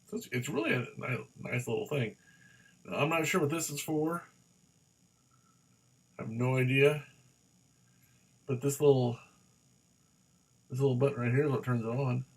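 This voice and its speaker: A man reviewing a product in a casual, hands-on way, halting and rambling as he goes and often repeating himself. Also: medium pitch, breathy edge.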